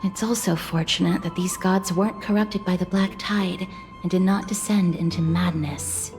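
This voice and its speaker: An actress playing a poised, gentle, courteous young woman in a video game, speaking formally and with measured care. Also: on the low side, steady tone, faint music behind, lightly acted. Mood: calm explaining. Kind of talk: scripted video game drama.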